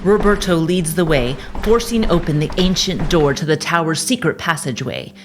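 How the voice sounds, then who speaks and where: Low-pitched woman narrating an audio drama. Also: moderate pace, heavy background noise. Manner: storytelling, theatrical. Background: music.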